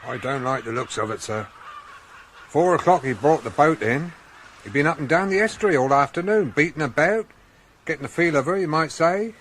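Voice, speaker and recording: An actor playing a chatty, deferential local police sergeant in a radio drama, folksy and matter-of-fact. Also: male voice, a little high, animated, brisk, a bit bright, slight background noise. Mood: watchful, reporting.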